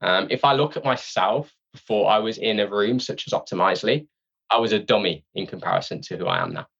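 Guy with a low creaky voice, chatting casually as a guest, kinda muffled audio. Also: brisk, a bit bright. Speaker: podcast guest, sales training company representative. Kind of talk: casual interview conversation.

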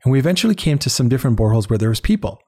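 A man's voice: medium pitch, big pitch swings, racing, a little mellow, husky. A man, a guest in an interview, telling personal stories in a conversational, earnest and reflective way, repeating words for emphasis. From born in Uganda, later lived in Canada. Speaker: interview guest, head of an international eye-care charity.

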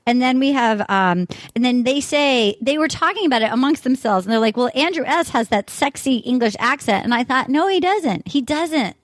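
A woman's voice: high-pitched, animated, normal speed, few pauses, no echo. A woman hosting a casual panel chat, recapping a dating show in a chatty, storytelling way. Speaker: podcast host leading a panel.

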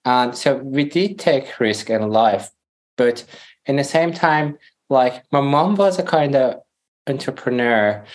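A man with a Persian accent, a native Farsi speaker, talking as a podcast guest in earnest, halting English. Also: medium pitch, animated. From Iran. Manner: earnest, halting, searching for words. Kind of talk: casual interview talk.

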